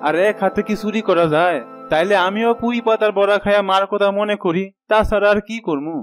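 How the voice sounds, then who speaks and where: A man puts on an eager junior thief, a grumbling cartoon sidekick. His voice sits high for a man and has a rural Bangladeshi Bengali accent. Music runs underneath. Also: fairly quick, natural voice. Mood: complaining. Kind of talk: cartoon voice acting. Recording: music behind the voice.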